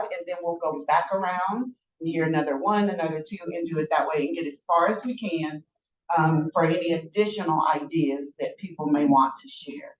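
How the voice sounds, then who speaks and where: A woman facilitating a workshop, guiding tables through priorities in a friendly, organized way. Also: fairly low pitch. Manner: friendly, organized, talks to the room. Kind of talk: leading a group discussion. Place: large conference room. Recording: echoey, distant and dull room recording.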